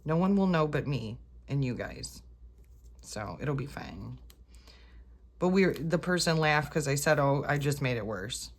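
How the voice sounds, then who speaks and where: A woman's voice: low voice, animated, measured pace. A woman rambling casually to her viewers as she decorates a planner, correcting herself as she goes, her voice congested and a little off. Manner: chatty, self-correcting, talks to viewers while working. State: congested, voice a bit off. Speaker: planner vlogger. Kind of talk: casual rambling craft chat.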